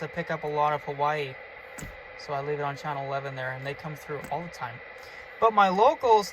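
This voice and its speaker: A man's voice: high-pitched, background noise. A man giving a casual, chatty, enthusiastic show-and-tell as a hobbyist vlogger. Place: in and around a pickup truck.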